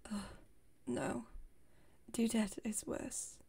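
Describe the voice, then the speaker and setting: A haughty, playful vampire woman acted by a woman whispers in a breathy, mellow voice. Her delivery stays fairly even, with formal, wry teasing and a protective streak, as in an intimate ASMR roleplay. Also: a little high, drawn-out words, full character voice. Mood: smug, playful.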